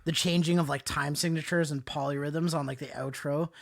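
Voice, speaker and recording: A man's voice: fairly high pitch, steady tone, fairly quick, creaky. A man with a nasal voice hosting a casual music chat in an informal, jokey, slangy way. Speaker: podcast host discussing music albums.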